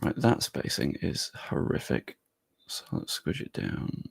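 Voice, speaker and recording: A man's voice: very deep voice, huge pitch swings, a little slow, vocal fry, breathy edge, no echo. A young man live-streaming, chatting casually with his viewers and thinking aloud as he works on screen.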